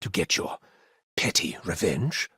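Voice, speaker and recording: Very raspy, embittered avenger. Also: male voice, low voice, lively intonation, a little slow, pushed and bright, dry recording, studio quality, full character voice. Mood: bitter scorn. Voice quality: raspy, gravelly. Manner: dramatic, scornful, intense. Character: embittered, grave man bent on stopping a foe. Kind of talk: video game dramatic dialogue.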